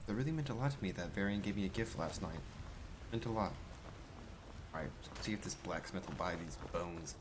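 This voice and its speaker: Very low-voiced man playing along. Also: fairly quick, noisy background. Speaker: game player role-playing as his character. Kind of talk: improvised in-character gameplay commentary. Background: game music.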